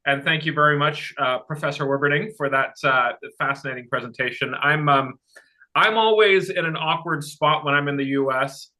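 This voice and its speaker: A man's voice: on the high side, moderate pace, pushed and bright, poor audio. A man from Sweden who sounds American, asking an eager, chatty question with stumbling restarts. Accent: American English. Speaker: audience member asking a question.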